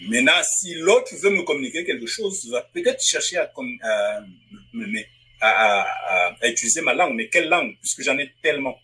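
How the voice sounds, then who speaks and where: A man from Africa with a bright, forward tone and a husky edge to his voice. He answers interview questions as a publisher and writer, thinking aloud and often circling back to restart a phrase. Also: mid-range voice, big pitch swings, fairly quick, low-quality recording. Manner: thoughtful, restarts phrases while searching for words. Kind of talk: interview guest. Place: Northwest Territories.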